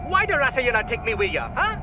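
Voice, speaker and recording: An actor playing an eager, cheeky young boy in a video game, speaking excitable slangy patois with a Caribbean accent. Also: extremely high pitch, quick pace. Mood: excited. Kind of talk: video game cutscene acting. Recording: dull, noisy lo-fi audio.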